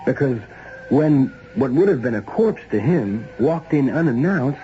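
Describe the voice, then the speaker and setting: An actor playing a steady, wry ex-cop investigator in a 1970s radio drama, with plain-spoken, probing delivery. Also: male voice, mid-range voice, measured pace, natural voice. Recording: dull, noisy old radio recording.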